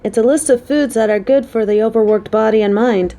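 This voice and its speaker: A woman's voice: fairly high pitch, a little fast, dark tone, lightly acted. A woman playing a caring, playful coworker on a date in an audio roleplay, talking to the listener in a motherly, teasing, chatty way.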